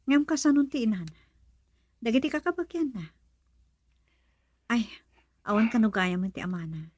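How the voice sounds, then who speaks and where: A woman playing a gentle, worried young woman in love in a radio romance drama, earnest and plaintive. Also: a little high, sing-song, normal speed, slightly rough, no echo, clean studio sound. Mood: pleading.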